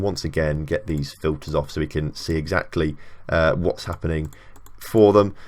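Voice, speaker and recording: A man with a deep, low voice talks casually through a football management game. He thinks aloud as he goes, with plenty of fillers and restarts. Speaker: video game channel host. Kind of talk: casual gameplay commentary.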